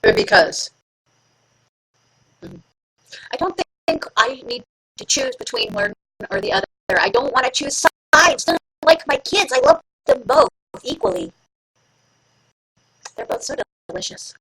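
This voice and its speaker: A woman's voice: high-pitched, wildly swooping pitch, measured pace, vocal fry, pauses often, a bit bright, rough recording. A woman hosting a live stream from home, chatting casually and playfully with her viewers and teasing herself. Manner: chatty, playful, self-mocking. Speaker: livestream host.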